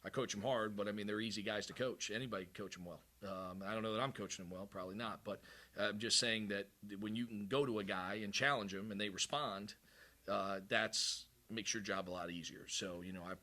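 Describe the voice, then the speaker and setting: A man's voice: on the low side, steady tone, brisk, bright, forceful tone. A middle-aged man, a head coach, giving candid, rambling postgame press conference answers with frequent restarts.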